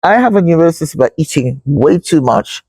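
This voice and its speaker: A man hosting a casual wellness chat, candid and self-deprecating, with a hoarse voice and frequent throat clearing. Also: on the high side, expressive, fairly quick, a little mellow. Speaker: host. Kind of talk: casual chat and viewer shout-outs. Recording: roomy echo, far from mic, faint noise.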